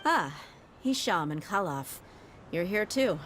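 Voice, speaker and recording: A woman playing a confident, easygoing young desert mercenary in a video game, speaking with relaxed assurance. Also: lowish voice, slow, a little hiss or hum, exaggerated voice. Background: soft game music.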